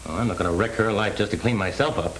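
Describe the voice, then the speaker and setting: An American actor playing a desperate, determined young ex-convict with noble scruples in a 1930s crime film. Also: male voice, on the low side, quick pace, a bit bright, lightly acted. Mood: resigned, bitter. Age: young adult. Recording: noisy old 1930s film soundtrack.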